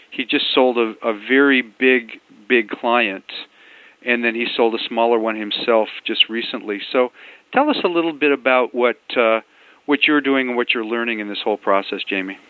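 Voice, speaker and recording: A man hosting a coaching group call, encouraging and halting, with many fillers. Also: mid-range voice, no room sound. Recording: dry, tinny phone line.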